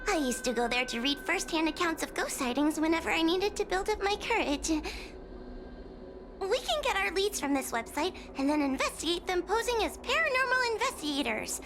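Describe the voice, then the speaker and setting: A woman's voice: very high voice, lively intonation, a little fast, over music. A woman voicing a timid, anxious young fox girl in a video game, hesitant and nervous. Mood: earnest explaining.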